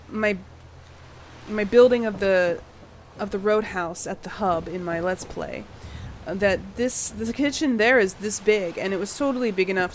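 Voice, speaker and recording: A woman talks casually over a home mic as she builds in a game. She sounds a touch husky from a fading cold and tired from the late hour. She chats, apologizes and laughs often, at an ordinary mid pitch, with a faint hiss behind her. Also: fairly bright tone. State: tired, yawning late at night. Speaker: gaming channel host.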